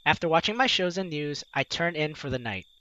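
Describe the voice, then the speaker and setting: A man's voice: on the high side, animated, a little fast, sharp tone, highs cut off. A man reading a visual novel aloud as a let's play host, expressively acting out reactions and adding playful asides. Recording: music under the voice. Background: game music.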